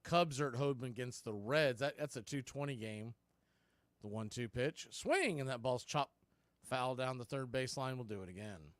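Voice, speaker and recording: A man doing live baseball play-by-play, mixing clipped pitch calls with conversational asides about other games. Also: moderate pace, a bit bright, dry recording. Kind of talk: live sports play-by-play commentary with scoreboard asides.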